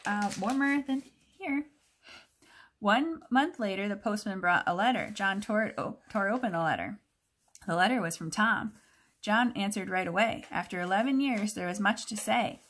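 A woman teacher reads a picture book aloud to children, expressive and explanatory. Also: mid-range voice. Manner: expressive, explanatory, pauses to question listeners.

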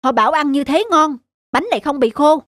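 A woman's voice: sits high, a little fast, a bit bright, dry recording, studio quality. A woman voicing an eager, deferential young male waiter in a cartoon. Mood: frank, polite. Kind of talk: cartoon story acting.

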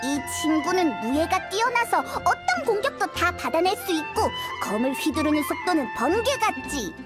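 A tiny fairy sidekick from an anime game. The voice is extremely high, childlike and animated, with an eager, chatty manner. Music runs behind it. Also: female voice, moderate pace. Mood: eager, introducing.

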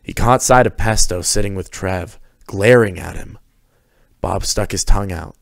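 A man narrates scripted fiction. His voice sits very low, and the recording is dry and close, with hardly any room in it. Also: moderate pace, vocal fry, no echo.

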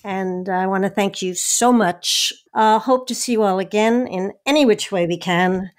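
A woman's voice: medium pitch, moderate pace. A woman radio host interviewing guests and reading announcements in a public radio style.

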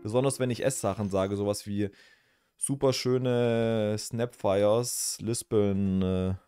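A man's voice: lowish voice, dark tone. A young man, chatting casually to his stream chat while playing, thinking aloud and thanking viewers. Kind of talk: casual game commentary to chat. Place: small indoor room.

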